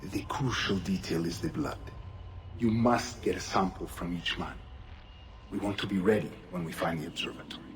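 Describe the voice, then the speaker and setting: An actor playing a scheming, persuasive conspirator. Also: male voice, sits low, normal speed, close to an everyday voice. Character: scheming male cult leader, smooth and persuasive. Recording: noisy background.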